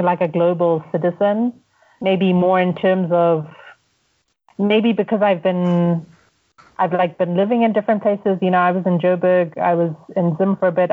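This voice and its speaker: A woman with a Zimbabwean accent, a guest on a podcast, talking things through reflectively with many restarts and fillers. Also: fairly low pitch. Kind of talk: casual interview conversation. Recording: tinny phone-like line.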